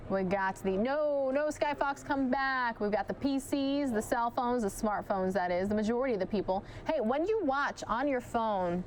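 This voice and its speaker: A woman news anchor giving live commentary on a police chase, chatting directly with viewers, her voice starting to go hoarse. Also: a little high, slight background noise, rough recording. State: losing her voice.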